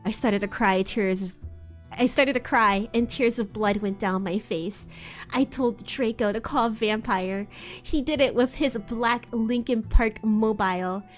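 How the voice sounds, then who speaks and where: A woman's voice: fairly high pitch, measured pace, faint music behind, pitched below the performer's own voice, lightly acted. A woman voicing a melodramatic, gloomy teenage goth girl narrating her own story in a self-serious dramatic reading. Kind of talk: dramatic fan fiction reading.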